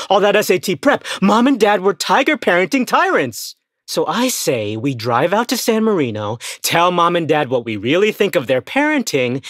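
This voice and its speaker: A man acting in an audio play as an aggrieved, excitable adult son, indignant, slangy and dramatic. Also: on the high side, dry recording, clean studio sound. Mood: rallying, resentful.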